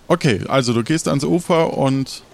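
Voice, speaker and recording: A man improvising as game master in an adventure game, talking in a casual, colloquial and halting way. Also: mid-range voice, creaky, a little hiss or hum.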